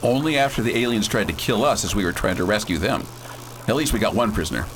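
A man playing a bold scientist who leads a space crew in a radio drama, brisk, folksy and commanding. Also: lowish voice, expressive, fairly bright tone, a little hiss or hum, low-quality recording. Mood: firm, matter-of-fact. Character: bold, decisive space-hero scientist and leader.

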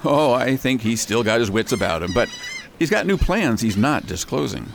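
A man acts a deferential, loyal office clerk in a period radio drama. His courteous voice is mid-pitched and extremely animated, with faint noise behind it. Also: normal speed, gravelly. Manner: courteous, eager to please.

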